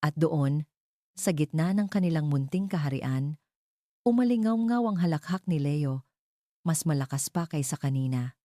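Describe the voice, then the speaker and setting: A woman storyteller with a low, mellow voice reads a dramatic love story. She moves in measured, short phrases, and the sound is dry and close. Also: lively intonation, no room sound. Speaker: story narrator. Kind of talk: dramatic scripted storytelling narration.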